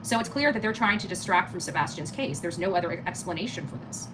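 American woman, middle-aged, quick pace, emphatic and indignant, casual livestream host, noisy home phone recording. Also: on the low side, animated. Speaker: live stream host. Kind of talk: casual livestream commentary, chatting with viewers. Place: small living room, indoors.

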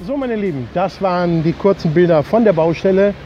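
A man's voice: high-pitched, fairly quick, soft background music. A man in his fifties from Germany, chatting casually to his viewers as host of a vlog, recorded outdoors at arm's length. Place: outdoors on a beach. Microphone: phone or camera at arm's length. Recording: dull lo-fi sound, outdoor wind or ambient noise.

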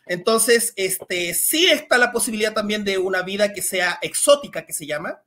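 A man in his thirties from Chile, with a Chilean accent mixed with Mexican Spanish, casually explaining biology in a live Q&A over a headset at home, with a relaxed manner and many filler words. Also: high-pitched, moderate pace. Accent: Chilean with some Mexican Spanish. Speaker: science communicator guest. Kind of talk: casual Q&A talk on a video call. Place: small room at home. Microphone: headset on a video call.

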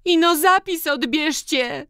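A woman voicing an outspoken, aggrieved young peasant wife in an audiobook, shrill and protesting in rural dialect. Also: slow, a bit bright, dry recording, clean studio sound. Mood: tearful. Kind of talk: audiobook character dialogue.

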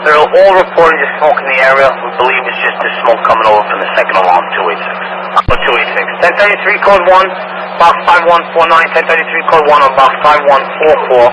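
A man's voice: fairly high pitch, expressive, fast. A man working as a fire dispatcher, speaking in terse, clipped, businesslike phrases over two-way radio. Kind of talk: terse radio dispatch, reading units and codes. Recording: noisy fire radio scanner audio.

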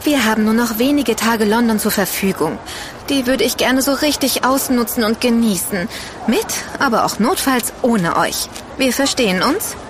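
Actress as a high-voiced, impatient young woman. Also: normal speed, background noise, natural voice. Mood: exasperated, impatient. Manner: sassy, impatient. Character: exasperated young woman on a city trip with friends. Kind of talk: naturalistic audio-drama dialogue.

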